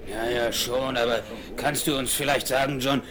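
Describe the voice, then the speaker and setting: A man's voice: mid-range voice, fairly even intonation, normal speed, a crowd in the background, natural voice. A man playing a grumbling mutinous sailor. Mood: impatient. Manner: impatient, grumbling.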